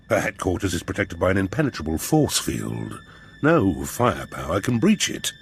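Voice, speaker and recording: An actor playing a scheming, pompous aristocratic duke in a video game, with drawn-out emphasis. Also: male voice, mid-range voice. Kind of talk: video game character acting.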